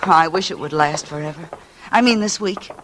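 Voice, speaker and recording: A woman's voice: deep voice, normal speed, background noise, lightly acted. A woman playing a wistful, secretive young woman in a doomed romance, earnest and hesitant in 1940s radio drama style. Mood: wistful, guarded. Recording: vintage radio recording with music underneath.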